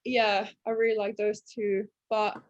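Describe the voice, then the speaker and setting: A young woman who grew up in England after early childhood in Latvia, a Russian speaker, chatting casually as a podcast guest and pausing often to find her words. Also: mid-range voice, dry recording, dull audio. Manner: relaxed, thinks aloud with many fillers. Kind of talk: casual interview chat.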